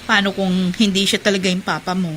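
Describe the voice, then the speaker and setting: A woman acting as a young child's anxious mother in a radio drama, medium in pitch. She speaks gently and with concern over faint background noise. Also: little pitch movement, brisk, lightly acted. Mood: gentle, probing. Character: gentle, worried mother fleeing with her child.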